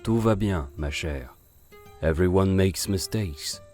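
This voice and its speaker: A man playing a suave, silver-tongued gentleman con artist with a flaming head in an audio drama, courtly and sprinkling in French phrases. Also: deep voice, takes it slowly, faint music behind. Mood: courteous, charming.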